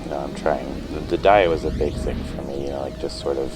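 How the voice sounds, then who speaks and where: A man playing a forgetful, apologetic patient recovering from a brain injury, talking haltingly in a naturalistic audio drama. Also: a bass voice, steady tone, music in the background. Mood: earnest, hesitant. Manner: halting, apologetic, repeats himself. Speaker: podcast creator and actor.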